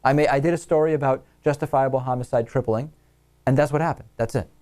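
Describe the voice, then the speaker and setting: A man's voice: medium pitch, fairly even intonation, fast, a little mellow. A man with an American accent hosting a talk radio show, reading listener messages and commenting on them.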